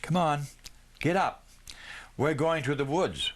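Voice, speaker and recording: A man reading the part of a kind, steady father in a storybook, giving plain-spoken, encouraging instructions. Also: fairly high pitch, slow pace, slight background noise, voice pushed up. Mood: brisk.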